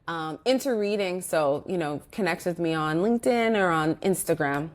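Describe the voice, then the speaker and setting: A woman's voice: lowish voice, animated, moderate pace. A woman in an interview conversation, talking reflectively in loose sentences with frequent restarts and fillers. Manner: reflective, speaks in loose restarts with many "like" and "you know". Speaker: guest, organizational psychologist.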